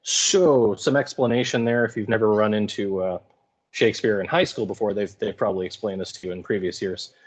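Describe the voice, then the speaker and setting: A man's voice: on the low side, darkish tone, slightly rough. A man teaching English, giving informal, colloquial explanatory commentary in a casual lecture style. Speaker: high school English teacher.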